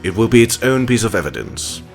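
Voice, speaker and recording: A man's voice: fairly low pitch, very animated, brisk, gravelly, over music. A man playing a haughty, sardonic animal prosecutor in a courtroom audio drama, theatrical and condescending. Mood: smug, condescending.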